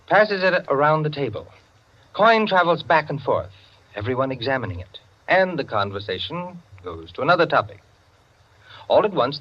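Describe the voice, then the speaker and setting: An actor playing an opinionated, self-satisfied clubman who lectures his friends in theatrical 1940s radio style, with savored pauses. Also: mid-range voice. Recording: dull, band-limited 1940s radio recording.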